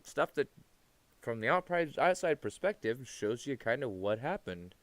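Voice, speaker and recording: A man's voice: medium pitch, very animated, moderate pace, no room sound. A man giving unscripted, opinionated commentary as a YouTuber, rambling, repeating himself and swearing. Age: adult past his teens. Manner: rambling, repetitive, profane.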